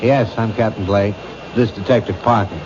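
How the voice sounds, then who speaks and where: An actor playing a skeptical police captain who makes dry, dismissive quips in a 1970s radio drama. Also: male voice, lowish voice, fairly even intonation, moderate pace, close to an everyday voice. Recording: dull old radio broadcast, noisy.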